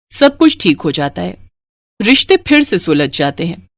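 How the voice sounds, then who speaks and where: A woman narrating a family cartoon story in a dramatic, scripted storytelling manner. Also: dry recording. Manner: dramatic storytelling, rising to shrill anger in quarrels. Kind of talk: scripted cartoon narration voicing all female roles.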